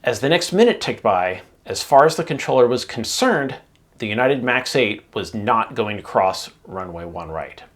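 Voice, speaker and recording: Man narrating an aviation explainer, measured delivery. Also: mid-range voice, lively intonation. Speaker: aviation channel host.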